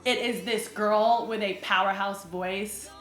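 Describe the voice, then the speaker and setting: A woman's voice: medium pitch, fairly even intonation, measured pace, fairly bright tone. A young adult woman, a podcast guest and singer, chatting casually and laughing often. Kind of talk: casual chat. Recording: roomy room with faint music.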